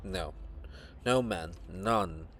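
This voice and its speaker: A man's voice: low-pitched, very slow, a bit bright, slight background noise, voice pushed down, full character voice. A man voicing a proud, cold princess monument, guarded and grandiose, in a solemn, declamatory dramatic reading. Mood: proud, bitter.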